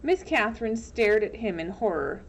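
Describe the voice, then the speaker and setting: A woman's voice: medium pitch, lively intonation, moderate pace, slight background noise. A woman reading a children's novel aloud in scripted storybook narration. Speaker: audiobook reader.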